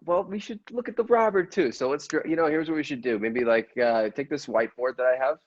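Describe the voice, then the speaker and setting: A man playing a bumbling, evasive police inspector who rambles haltingly in improvised radio comedy. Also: fairly high pitch, dry recording, dull audio, voice pushed up, lightly acted. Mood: rambling, evasive.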